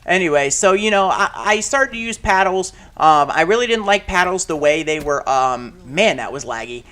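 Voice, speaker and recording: A man with a slightly high, raspy voice talks through a shooter game session in a loose, rambling way. He piles on fillers and restarts, and breaks off into loud shouts at the action. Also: lively intonation, normal speed. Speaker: gaming channel host. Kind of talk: casual commentary while playing a shooter game.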